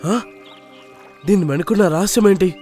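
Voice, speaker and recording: A man as a high-pitched brave young cartoon lion. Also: normal speed, mellow, soft background music, lightly acted. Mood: puzzled, questioning. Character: brave young male lion, questioning and demanding. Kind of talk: children's cartoon voice acting.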